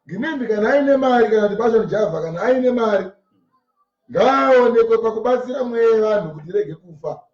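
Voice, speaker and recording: Zimbabwean shona guy preaching hard, repeats himself, quick talker, echoey far off mic. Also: high voice, expressive, a little mellow. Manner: emphatic, repeating phrases for effect. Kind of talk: sermon expounding scripture line by line. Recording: distant mic in echoey, muffled room.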